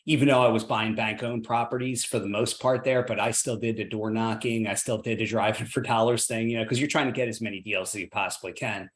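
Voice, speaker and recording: A man's voice: lowish voice, steady tone, brisk, few pauses. A man hosting a podcast interview, upbeat and encouraging, often tagging sentences with "right?". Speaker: podcast host, real estate investor.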